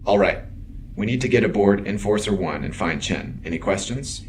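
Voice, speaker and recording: A man playing a calm, loyal, dry-witted protector in an audio drama, terse and formal in manner. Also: fairly low pitch, normal speed, lightly acted. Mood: calm, resolute. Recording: clear background noise, ambience.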